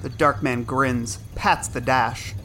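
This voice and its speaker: A man giving measured, suspenseful scripted narration for an audio drama. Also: mid-range voice, steady tone, bright, forceful tone, a little hiss or hum. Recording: music and effects under narration.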